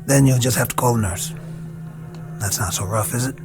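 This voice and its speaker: An actor playing a weary army lieutenant at a wartime hospital, halting and reassuring over hidden dread, in a radio drama. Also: male voice, mid-range voice, vocal fry, lightly acted. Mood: forced calm. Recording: dramatic music behind the voice.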